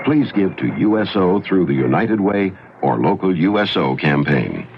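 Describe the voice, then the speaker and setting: A man's voice: sits low, very animated, moderate pace, vocal fry. A man reading a scripted public service radio appeal in earnest, emphatic short statements. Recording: dull, very noisy 1975 radio broadcast.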